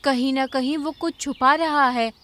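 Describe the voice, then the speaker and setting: A woman's voice: high-pitched, steady tone, moderate pace, a bit bright. A woman voicing a concerned, clever female bird in a cartoon, speaking earnestly. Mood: suspicious, concerned. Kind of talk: cartoon character acting.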